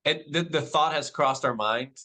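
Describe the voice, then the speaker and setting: A Dutch-born man who speaks English with no accent as his second language. He is a padel club owner answering podcast questions in a measured, soft-spoken way, with frequent ums. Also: fairly high pitch. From Netherlands.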